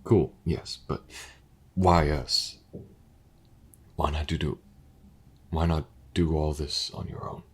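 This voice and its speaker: A man playing a wary, rough-edged character in an audio drama, speaking bluntly and haltingly. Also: very deep voice, steady tone. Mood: curious, wary. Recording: faint noise and ambience.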